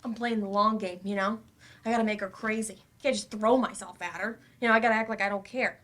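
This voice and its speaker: A woman's voice: mid-range voice, steady tone, fast, fairly bright tone, lightly acted. A woman in a staged play reading, acting a brash, goofy and cocky adult student.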